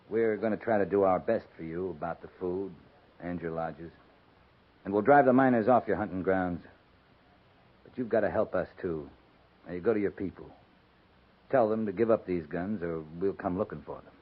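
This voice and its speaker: An American man playing a firm, fair-minded cavalry captain, measured and plain-spoken, in a 1950s radio western. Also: low-pitched. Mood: firm, resolute. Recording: muffled, band-limited 1950s radio recording.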